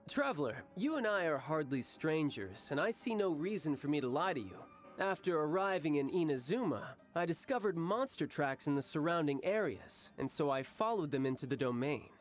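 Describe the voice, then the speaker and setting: A young, battle-loving game warrior with a sly, self-assured manner. The speech is theatrical and teasing, with lively swings in pitch, over light music. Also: male voice. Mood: smooth, confident. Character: cocky young male warrior who relishes battle, sly and smooth. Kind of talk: video game voice acting.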